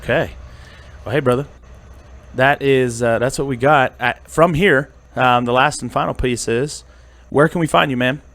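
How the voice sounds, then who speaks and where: A man's voice: medium pitch, moderate pace, fairly bright tone. A man from central Pennsylvania hosting a podcast, upbeat and friendly, chatting casually with lots of fillers. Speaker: podcast host. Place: studio.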